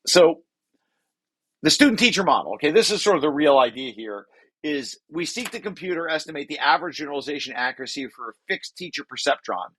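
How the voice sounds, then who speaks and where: A man presenting a technical paper aloud, reading and adding explanations in a halting way with self-corrections. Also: on the high side, fairly bright tone, no echo. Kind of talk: technical table read with explanatory asides.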